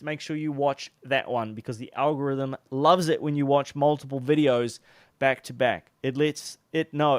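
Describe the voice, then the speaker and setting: A man's voice: fairly high pitch, no echo, clean studio sound. A young man with a New Zealand accent giving casual advice to camera in a loose, chatty way, with lots of fillers and restarts. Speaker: online business coach.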